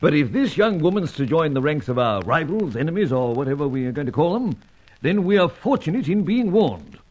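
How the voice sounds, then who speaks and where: An actor playing a suave, skeptical older British knight of the secret service in a radio serial, pompous and dryly sardonic, with a British accent. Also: male voice, on the high side, sing-song, fairly quick, a little mellow. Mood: cautioning. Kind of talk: old-time radio adventure serial acting. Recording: dull, muffled old radio recording.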